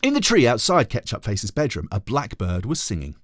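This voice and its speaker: Very animated man reading a children's story. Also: medium pitch, fairly quick, no echo, studio quality. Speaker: teacher reading aloud.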